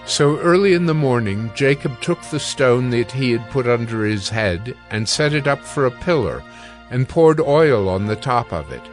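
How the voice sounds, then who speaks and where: A man narrating scripture from a script in a measured, formal way. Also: mid-range voice, expressive, faint music behind.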